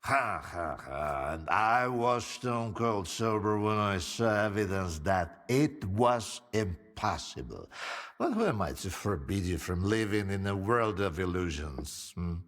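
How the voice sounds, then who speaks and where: An actor playing a boozy, sardonic older scientist in a video game, theatrical and philosophising, with a husky, gravelly voice. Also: male voice, sits low, big pitch swings, unhurried, a bit bright, big-room sound, full character voice. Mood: sardonic, rambling. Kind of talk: video game cutscene acting.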